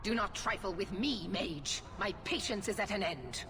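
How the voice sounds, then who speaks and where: A woman's voice: medium pitch, animated, moderate pace, lightly acted. Middle-aged female military zealot voiced by a woman, fantasy game drama, stern authority, noisy track behind. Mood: stern, impatient. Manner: commanding, severe, formal.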